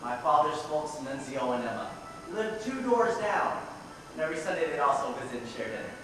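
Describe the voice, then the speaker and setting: A man's voice: a little high, sing-song, fairly quick, music in the background. A man acting the part of an exasperated young grandson in an amateur stage play, with projected, emphatic delivery. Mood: reflective narrating. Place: theater stage, distant recording. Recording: echoey noisy hall, recorded from far off.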